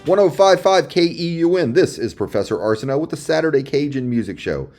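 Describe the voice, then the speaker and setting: A man's voice: a little high, big pitch swings, brisk, loud music behind the voice. A man presenting a radio show in a folksy, chatty showman's manner, addressing listeners directly with dramatic repeats.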